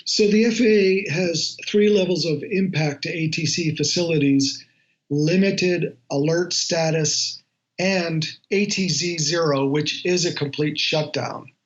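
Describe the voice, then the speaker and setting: A man, an aviation journalist, giving measured explanatory answers in an interview, on a slightly lo-fi recording. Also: a little high.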